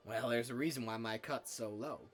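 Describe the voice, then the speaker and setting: An actor playing a sly, folksy scavenger, coy and conversational, in a space-western film. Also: male voice, mid-range voice, fairly quick, faint music behind, close to an everyday voice. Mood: coy, persuasive. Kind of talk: naturalistic film dialogue.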